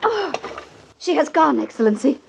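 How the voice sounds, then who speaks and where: An actress playing an anxious, deferential, superstitious servant in a 1940s radio drama, with respectful urgency. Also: female voice, high-pitched, big pitch swings, normal speed, vocal fry, heavily acted. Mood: alarmed. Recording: hissy vintage radio recording.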